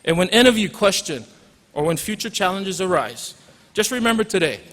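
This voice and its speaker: A man's voice: high-pitched, big pitch swings, a little fast, fairly bright tone. A man in his forties from Hawaii with a Hawaiian accent, a teacher giving an informal graduation speech with joking asides and bits of Pidgin. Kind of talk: commencement speech. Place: large hall. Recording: reverberant hall audio.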